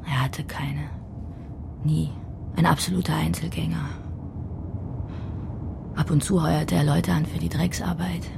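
Grieving gangster's lover, female, haunted, low voice with fry, radio drama. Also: steady tone, measured pace, long pauses, noisy background, heavily acted. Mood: bitter, mournful. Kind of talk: radio crime drama acting.

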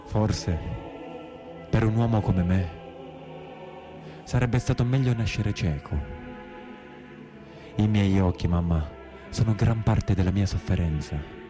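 Bitter southern Italian brigand, theatrical. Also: male voice, on the low side, takes it slowly, long pauses, over music. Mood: anguished, solemn. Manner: colloquial, dialect-laced, dramatic. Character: imprisoned southern Italian brigand, plain-spoken, bitter, anguished. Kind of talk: theatrical monologue in a radio play.

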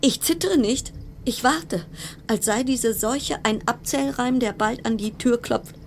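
A woman's voice: a little high, measured pace, slight background noise. A woman acting in a radio play as an anxious, sardonic quarantined passenger, delivering a brooding, dramatic interior monologue.

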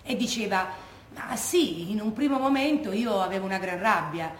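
An actress playing a lucid, firm former partisan woman who reflects in a measured stage monologue drawn from testimony. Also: mid-range voice, lightly acted. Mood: firm, explanatory. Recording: roomy, echoey stage recording with noise.

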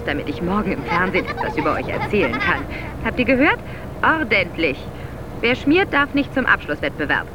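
An actress playing a bossy, impatient female teacher in a radio drama, briskly ordering children about and talking down to them. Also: medium pitch, background noise, natural voice. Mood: sugary, commanding. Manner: brisk, scolding, talks down to children.

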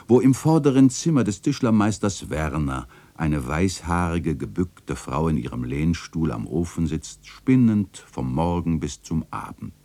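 An actor playing a reflective, nostalgic chronicler narrating a literary radio play in a measured, contemplative way. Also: male voice, deep voice, mellow. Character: reflective, nostalgic male chronicler of an old city lane.

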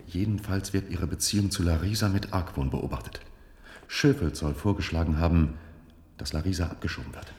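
Deep, secretive male informant. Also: brisk, a little mellow. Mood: conspiratorial. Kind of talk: radio drama acting. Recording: roomy echo.

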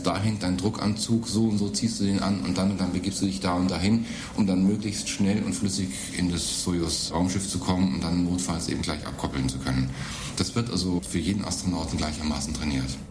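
A man in an archival radio interview, explaining haltingly with fillers. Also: low voice. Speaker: interviewee explaining space station training. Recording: roomy archival radio recording with noise.

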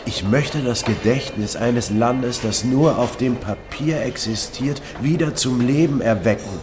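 A man's voice: medium pitch, a little slow, noisy background, close to an everyday voice. An actor playing an earnest, idealistic male writer in a radio play. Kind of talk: German radio play dialogue. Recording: music behind the voice. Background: music.

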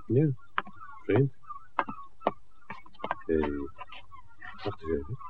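An actor playing a wry, teasing screenwriter with drawling ironic asides in a 1960s Dutch radio drama. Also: male voice, a bass voice, very animated, drawn-out words, noisy background, exaggerated voice. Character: wry, teasing male screenwriter, good-humored companion. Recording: muffled, narrow old radio recording.

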